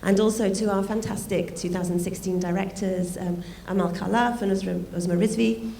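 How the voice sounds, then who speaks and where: A woman with a croaky voice giving a welcome speech at an event, with light asides as she thanks people. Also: medium pitch, steady tone, fairly quick, mellow. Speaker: art fair director opening a forum. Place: event venue. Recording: roomy hall sound, faint noise.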